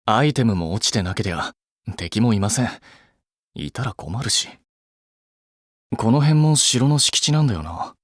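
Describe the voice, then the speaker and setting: An actor playing a blunt, practical young male idol in a game, deadpan and casual with an awkwardly kind streak. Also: lowish voice, measured pace, pauses often, no echo, studio quality. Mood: dry, practical. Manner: deadpan, casual clipped speech. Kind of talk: Japanese mobile game voice acting.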